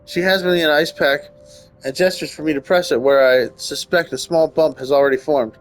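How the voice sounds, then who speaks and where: A man's voice: fairly high pitch, steady tone, a little fast, faint music behind, poor audio. A man reading visual novel narration aloud as a let's player, breaking off for wry, nitpicking casual commentary.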